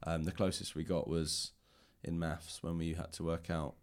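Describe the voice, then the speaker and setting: A man with a low-pitched voice hosts a casual interview. He talks reflectively and at length, in a loose conversational style. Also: level tone, normal speed. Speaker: podcast host, interviewer.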